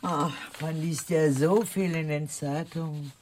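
An actress playing a whimsical, superstitious aunt of about sixty in a German radio drama. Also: female voice, low voice, steady tone, a little slow, background noise. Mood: chatty, brisk. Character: eccentric sixty-year-old aunt, superstitious, whimsical and blithe.

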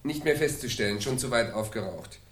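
A man's voice: mid-range voice, a little fast, a bit bright, a little hiss or hum, lightly acted. An actor playing an observant, skeptical police assistant who reports matter-of-factly to his chief in a 1970s German radio play. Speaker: actor in a radio crime drama.

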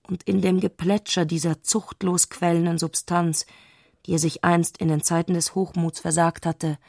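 Low-pitched woman narrating literature. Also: flat delivery, creaky, a little mellow, dry recording, clean studio sound. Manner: measured, composed storytelling.

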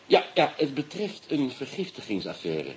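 A hearty police inspector who keeps things moving with brisk good humor in a radio play. A faint hiss sits behind the voice. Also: male voice, medium pitch, wildly swooping pitch, low-quality recording. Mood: jovial. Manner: brisk and jovial.

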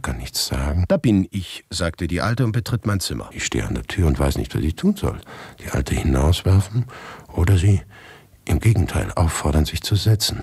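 A man narrates a radio play in the first person with a low, husky voice. His delivery is intense and highly varied in pitch, moving between hushed and agitated. The sound is dramatic and literary. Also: dry recording.

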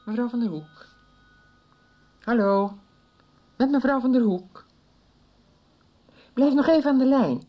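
A woman's voice: a little high, takes it slowly, pauses often, dull audio. An actress in a 1990s radio soap playing an anxious, caring woman. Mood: urgent. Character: anxious, caring woman urging a caller to talk.

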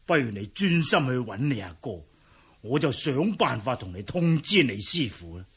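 Casting: caring old Cantonese great-uncle, creaky and rough-voiced, authoritative, deliberate, for radio drama. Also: male voice, medium pitch, big pitch swings, fairly bright tone, exaggerated voice. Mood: caring, advising. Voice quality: husky, gravelly. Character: elderly martial clan great-uncle, caring, measured, authoritative.

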